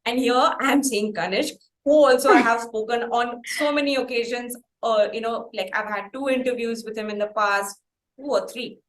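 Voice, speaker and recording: A woman with an Indian accent hosting a casual interview, gushing and halting as she repeats her phrases. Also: a little high, fairly even intonation. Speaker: interview show host. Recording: roomy, echoing sound.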